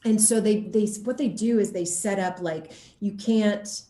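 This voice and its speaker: A woman actor chatting casually in an interview and telling anecdotes in an animated, laughter-prone way. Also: mid-range voice, measured pace, reverberant.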